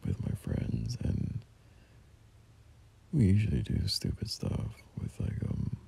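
A young man playing a caring, soothing boyfriend in an ASMR roleplay, murmuring gently and hesitantly in a breathy, gravelly half-whisper. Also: sits low, wildly swooping pitch, full character voice. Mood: rambling, amused. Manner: gentle, hesitant, unhurried reassurance.

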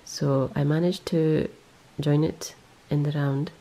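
A woman's voice: sits low, fairly even intonation, takes it slowly, dark tone, rough recording. A woman talking casually over close-ups of her knitting, rambling and correcting herself with lots of ums. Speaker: knitting vlogger. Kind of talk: casual show-and-tell. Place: indoors, close-up on hands and yarn.

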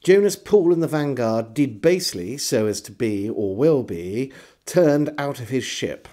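Casting: middle-aged English male narrator, lively intonation, soft-edged mellow tone, theatrical storybook delivery of old diaries. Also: mid-range voice, unhurried. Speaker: storyteller and host. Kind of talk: dramatic literary reading of a historical diary. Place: book-lined room at home, indoors.

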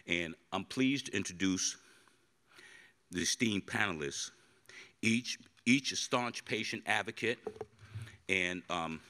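Deep-voiced moderator at a podium. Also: male voice, sharp tone. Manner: formal, with filler pauses. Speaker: panel moderator. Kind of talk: introducing a panel. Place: conference hall stage, at a podium. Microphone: podium microphone.

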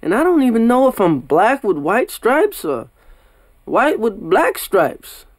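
A narrator voicing a restless, excitable young male cartoon zebra with emphatic delivery, for a children's storybook. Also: mid-range voice, unhurried, no echo, studio quality, pitched above the performer's own voice. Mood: dejected, venting. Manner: animated, emphatic.

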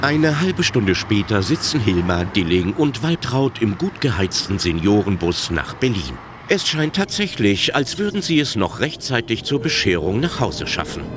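A man's voice: lowish voice, sing-song, normal speed, background noise. A man narrating a scripted comedy audio drama as its storyteller.

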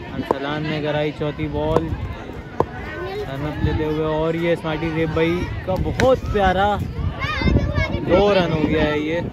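Young male cricket caller, fairly high voice, excitable, crowd noise all around. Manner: excited, rising sharply on big shots. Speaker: cricket match commentator. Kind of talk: live amateur cricket commentary. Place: outdoor sandy ground with a crowd.

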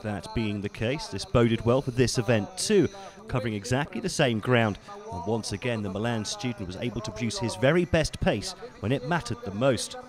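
A man narrates ski race highlights in a measured, polished broadcast manner at a middle pitch. The crowd is clearly heard behind his voice-over. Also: expressive. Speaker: sports commentator.

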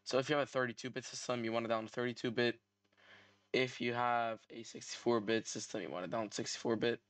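Male tutorial host, clean smooth voice, dry close sound, step-by-step instructional narration. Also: mid-range voice, steady tone, quick pace, fairly bright tone, no room sound. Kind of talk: scripted software tutorial narration.

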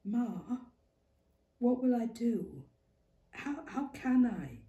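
Woman doing an earnest, pleading young wife; slow, echoing room. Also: on the high side, big pitch swings, warm tone, muffled recording, pitched above the performer's own voice, heavily acted. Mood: anxious, pleading. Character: anxious young pregnant working-class wife. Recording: roomy echo.

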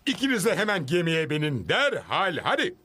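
A man voicing a stern, impatient team boss in an animated series dub, curt and commanding. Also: sits high, fairly quick, heavily acted.

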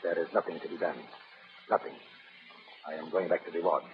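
An actor playing a callous, cold scientist villain in a 1930s radio drama, clipped and formal. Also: male voice, low voice, level tone, fairly quick, lightly acted. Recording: muffled old radio broadcast.

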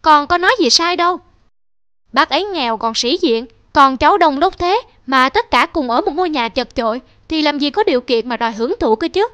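A woman's voice: high-pitched, lively intonation, brisk, a bit bright, no room sound. A woman as a boastful, money-obsessed young businessman. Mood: defensive. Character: ambitious, money-obsessed young businessman, dismissive grown son. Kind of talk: animated moral drama dialogue.

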